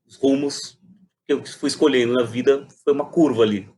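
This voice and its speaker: A man's voice: medium pitch, wildly swooping pitch, brisk, gravelly, a little mellow, a little hiss or hum. A man, telling his story reflectively and animatedly in a conversational interview. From Brazil. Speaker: guest, playwright and poet.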